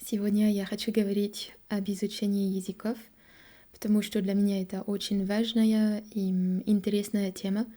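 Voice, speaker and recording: A young French woman with a French accent, talking to camera in a learner's halting Russian, pausing often to search for words and correct herself. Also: mid-range voice, level tone, mellow. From France. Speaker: vlogger. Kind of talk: casual monologue to camera.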